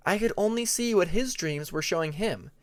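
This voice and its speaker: Male narrator as young female dragon, high pitch, patient, measured, earnest, fantasy audiobook. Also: expressive. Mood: explaining.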